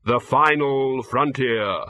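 Death, a man's slow, solemn reaper voice. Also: medium pitch, sharp tone, dry recording, studio quality. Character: Death, a grave, skeletal male reaper, calm and measured.

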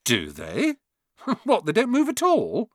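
A man voicing a blunt, chatty eleven-year-old schoolboy with a quick offhand manner. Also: high-pitched, wildly swooping pitch, no echo, clean studio sound, pitched above the performer's own voice, full character voice.

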